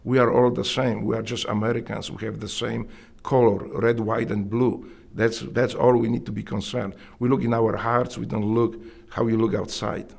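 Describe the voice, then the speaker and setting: A middle-aged man with a Polish accent, earnestly telling his story in an interview, often halting and restarting his sentences. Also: mid-range voice, flat delivery, creaky, darkish tone, slightly rough. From Poland. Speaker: interviewee, Navy SEAL veteran.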